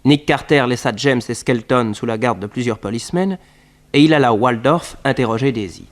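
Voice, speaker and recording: A man's voice: medium pitch, fairly quick, lightly acted. A man delivering scripted narration for a 1960s French detective radio serial.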